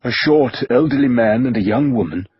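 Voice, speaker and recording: A man voicing a short, elderly Victorian gentleman who talks in a dry, measured, matter-of-fact way. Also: medium pitch, animated, husky, no echo, dull audio. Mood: calm.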